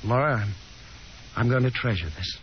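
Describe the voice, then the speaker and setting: A man's voice: medium pitch, normal speed, slight background noise, lightly acted. An actor playing an affable young working man with an American accent, stammering and eager to please in a 1950s radio drama. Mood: apologetic, polite. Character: affable, awkward young working man, earnest gentleman caller. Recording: muffled 1950s radio recording, music behind.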